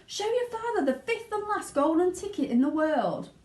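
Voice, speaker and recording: A woman's voice: very high-pitched, sing-song, moderate pace, a little mellow, pitched above the performer's own voice. A woman voicing a very old, wildly joyful grandfather in a children's storybook, exclaiming exuberantly. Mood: jubilant. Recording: roomy indoor echo.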